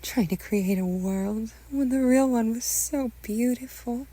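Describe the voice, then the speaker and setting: A woman's voice: fairly high pitch, a little slow, mellow, pitched above the performer's own voice. A woman voicing an anxious, doting mother who is sugary, controlling and insistent in a dramatic script reading. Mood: wistful, doting. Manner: doting, insistent, emotionally intense.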